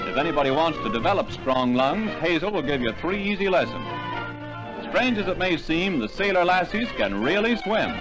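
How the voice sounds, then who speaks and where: A man's voice: fairly high pitch, highs cut off. A man reading scripted 1940s newsreel narration in a formal announcer delivery, on a vintage soundtrack.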